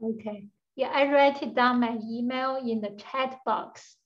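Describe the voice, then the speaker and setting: A woman moderates an online seminar, inviting each person in with short, slow prompts. Her slightly raised voice comes through a dull, lo-fi call. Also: fairly high pitch. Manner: brief, welcoming prompts. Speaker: seminar host.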